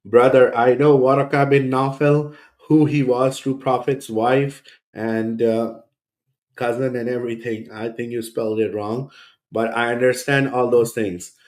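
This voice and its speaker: A man's voice: mid-range voice, little pitch movement, a little slow, darkish tone. A man hosting a reaction video, rebutting a podcast clip in a scornful, sarcastic tone. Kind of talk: reaction commentary.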